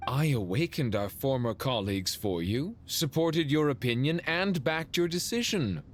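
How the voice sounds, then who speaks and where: An actor playing a measured, formal councillor of an ancient order in a video game. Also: male voice, medium pitch, lively intonation, natural voice. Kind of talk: dramatic video game voice acting.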